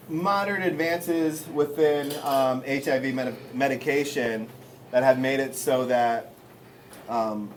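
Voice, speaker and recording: A man hosting a community panel discussion, speaking with many pauses and fillers. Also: fairly high pitch, slight background noise. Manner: hesitant, many ums and uhs. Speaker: host.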